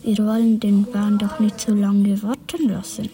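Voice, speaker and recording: A woman's voice: medium pitch, flat delivery, a little slow, a crowd in the background, close to an everyday voice. A woman whispering the part of a kindly old male gnome leader, in a firm manner.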